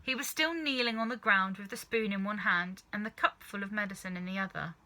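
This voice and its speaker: A woman reading a children's story aloud as narrator, with expressive scripted narration. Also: mid-range voice, fairly quick. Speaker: storybook reader.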